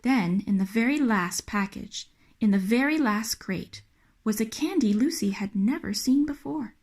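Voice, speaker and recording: A woman narrating a children's picture book in an expressive read-aloud style. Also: fairly high pitch, unhurried. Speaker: storybook reader.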